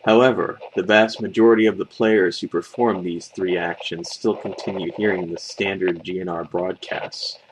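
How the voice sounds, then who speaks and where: A man's voice: low voice, steady tone, normal speed, hardly any pauses, noisy background. A man reading a scripted creepypasta as a horror story narrator.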